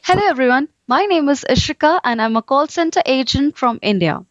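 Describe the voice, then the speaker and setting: A woman reading a scripted call center demo, with an even, polished delivery. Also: high voice, brisk, dry recording, muffled recording.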